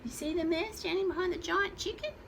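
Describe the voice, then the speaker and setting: A woman's voice: very high-pitched, a little fast, gravelly, a little hiss or hum. A woman storyteller reading aloud expressively to small children, with chatty asides along the way.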